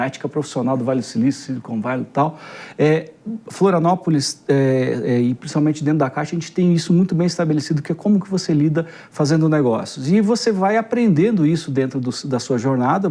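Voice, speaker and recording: A man's voice: a little high, dark tone. A man with a São Paulo Brazilian accent, a guest answering interview questions in a thoughtful way, often repeating words as he searches for phrasing. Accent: São Paulo Portuguese. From Guarulhos, Brazil. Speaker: guest, innovation executive.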